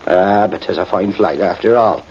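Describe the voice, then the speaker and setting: An actor playing a proud, sentimental, tipsy Irish cavalry sergeant in a radio drama, rambling and halting with emphatic turns. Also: male voice, sits low, a little mellow. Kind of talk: 1950s radio drama acting. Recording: muffled, noisy vintage radio recording.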